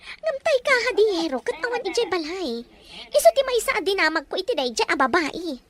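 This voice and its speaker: A woman's voice: extremely high pitch, very animated, a little fast. A woman playing a cruel, scheming mistress of the house, domineering and mocking, in an audio drama. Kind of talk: melodramatic audio drama dialogue.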